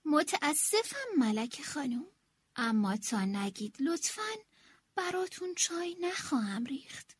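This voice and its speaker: A woman's voice: sits high, big pitch swings. A woman voicing a polite royal servant who sadly refuses the queen. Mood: sorrowful. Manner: sorrowful, quiet refusal.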